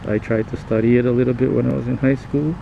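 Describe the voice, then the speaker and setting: A man from the Philippines, a native Tagalog speaker, rambles casually and reflectively in halting phrases as a moto vlogger riding with a lav mic on his helmet. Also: mid-range voice, fairly even intonation, vocal fry, muffled recording. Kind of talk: casual rambling vlog monologue. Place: outdoors, riding a motorcycle in traffic. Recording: helmet lav mic, loud traffic noise. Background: motorbike engine and road traffic noise.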